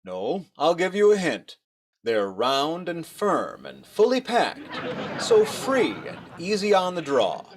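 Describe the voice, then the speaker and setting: A jolly sing-song husband. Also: male voice, huge pitch swings, slow pace, a crowd in the background, noisy background, full character voice. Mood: jovial. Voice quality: chummy, sing-song pitchman. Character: jolly fussy husband planning a surprise party. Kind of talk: 1950s radio comedy acting.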